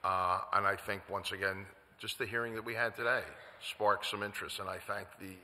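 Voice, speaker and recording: Older guy chairing a council hearing, loud, lots of uhs and repeats, big echoey room. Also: fairly low pitch, fairly even intonation. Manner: halting, many fillers and repeated words. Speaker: committee chair. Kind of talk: closing remarks at a public hearing. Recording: echoey council chamber with long reverb.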